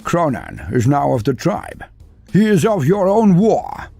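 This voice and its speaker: A man's voice: a little high, wildly swooping pitch, unhurried, vocal fry, dark tone, slightly rough, soft background music, pitched above the performer's own voice, exaggerated voice. A man voicing an ork chieftain who rouses an arena crowd with rhetorical questions. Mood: rousing.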